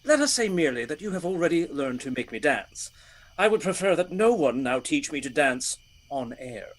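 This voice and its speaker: A man's voice: fairly high pitch, big pitch swings, normal speed. A man acting a gallant, teasing outlaw leader in a radio play, with courtly, playful phrasing.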